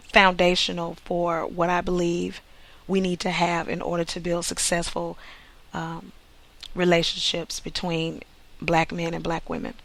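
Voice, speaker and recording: A playwright giving an informal, explanatory introduction to a scene, in a measured and emphatic way. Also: medium pitch, gravelly, fairly bright tone. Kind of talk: informal spoken introduction to camera.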